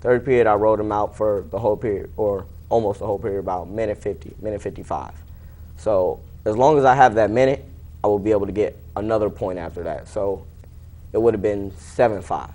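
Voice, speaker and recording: A man's voice: fairly low pitch, brisk, warm tone. A young American man in his late teens giving casual, plain-spoken interview answers, somewhat tentative. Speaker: interview guest, high school wrestler.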